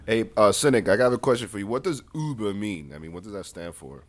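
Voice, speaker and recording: A man's voice: lowish voice, animated, fast talker. A man co-hosting a podcast, retelling a news story in casual chat, swearing freely and laughing often. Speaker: podcast co-host.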